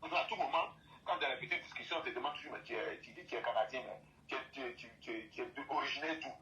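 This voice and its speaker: Emphatic man, bright tone. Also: mid-range voice, fast talker, muffled recording. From Côte d'Ivoire. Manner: emphatic, repeats and restates phrases. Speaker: guest speaker. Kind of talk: impassioned opinion talk.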